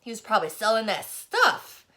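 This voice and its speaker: A woman voicing a cranky, scolding old neighborhood barber for an audiobook, griping in loud, indignant exclamations. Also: on the high side, wildly swooping pitch, normal speed, exaggerated voice. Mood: scornful. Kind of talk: audiobook character voice.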